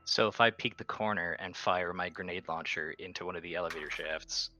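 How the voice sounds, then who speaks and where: A man's voice: deep voice, a little fast, bright, forceful tone, slight background noise. A man chatting casually as a player in a tabletop roleplaying game.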